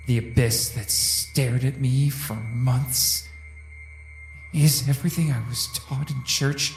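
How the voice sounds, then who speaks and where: A man playing an anguished survivor narrating his drift through oblivion in a solemn, hushed, dramatic audio drama style. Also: mid-range voice. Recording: echoing voice with music underneath.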